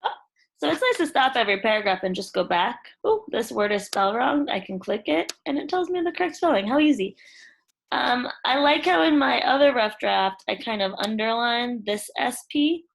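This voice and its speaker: A woman's voice: on the high side, expressive, moderate pace, vocal fry, a little hiss or hum, low-quality recording. A woman teacher walking pupils through a screen-share tutorial, instructing them informally step by step. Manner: instructional, addresses children informally as "you guys". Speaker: elementary school teacher.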